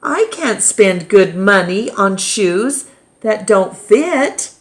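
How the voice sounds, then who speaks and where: A woman voicing a kindly, practical grandmother in a storybook, gentle and expressive. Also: mid-range voice, slow. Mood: gently firm.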